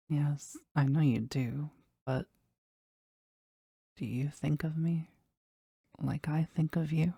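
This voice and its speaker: A woman playing a powerful, jealous demon devoted to their master in an intimate audio roleplay, speaking deliberately and intensely in a husky, breathy voice. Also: mellow, dry recording, clean studio sound, full character voice. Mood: adoring, yearning. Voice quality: husky, breathy, low creaky voice. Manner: slow, deliberate, intense, dramatic.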